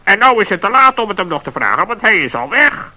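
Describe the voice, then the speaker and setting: A fussy, high-voiced wise bird. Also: male voice, big pitch swings, fast talker, bright, forceful tone, full character voice. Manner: fussy, pompous. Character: self-important wise old bird, eager. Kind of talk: radio play acting.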